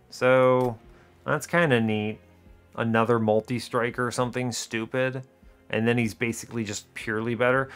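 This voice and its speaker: Man thinking aloud over game music. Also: medium pitch. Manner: explains his reasoning as he plays, self-questioning. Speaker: gaming video host. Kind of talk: casual strategy game commentary, thinking aloud. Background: music behind the voice.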